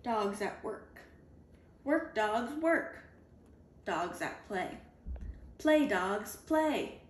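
A woman reading a children's picture book aloud, deliberately, in clearly spaced phrases for young children, recorded indoors at home. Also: medium pitch, animated. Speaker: storybook reader. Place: indoors at home, on a leather couch. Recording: roomy home recording with background noise.

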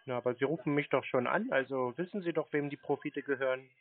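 A man's voice: medium pitch, quick pace. A man on an improvised prank phone call, asking short, repetitive questions in a feigned naive, needling manner. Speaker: prankster who baits phone scammers. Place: telephone call. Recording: thin dry phone-like sound.

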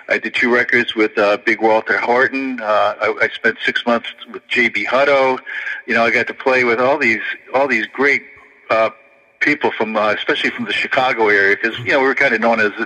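A man's voice: mid-range voice, bright, forceful tone, long reverb tail, poor audio. A man, a blues pianist telling stories in a rambling, filler-heavy way. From Connecticut, USA. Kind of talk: casual interview storytelling.

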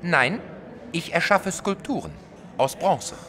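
A man's voice: fairly high pitch, animated, slow pace, bright, forceful tone, soft background music, exaggerated voice. An actor playing a small, self-righteous, jealous male artist in an audio drama, vehement and defensive in manner. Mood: composed. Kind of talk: German audio drama acting.

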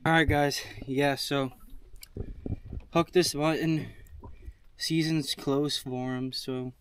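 A man's voice: a little high, fairly even intonation, slow, a bit bright, clear, resonant tone. A young man hosting a casual fishing vlog, talking to viewers from a small open boat at sea. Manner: laid-back, talks to viewers while fishing. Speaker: fishing channel host. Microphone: action camera on the boat. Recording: outdoor action camera with wind and water noise.

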